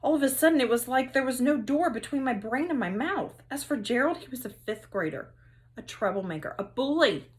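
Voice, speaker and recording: A woman teacher reading a children's story aloud, expressive and with dramatic emphasis. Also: high-pitched, fairly quick.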